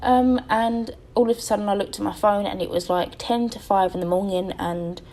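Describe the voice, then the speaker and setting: A young woman chats her way through a voice-over, her voice tired and faintly crackly. She rambles and stops often to correct herself, with plenty of "um" between thoughts. Her pitch sits right in the middle for a woman. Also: fairly even intonation, moderate pace, mellow. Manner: rambling, chatty, self-correcting with many ums. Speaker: game building YouTuber. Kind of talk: casual voice-over over gameplay.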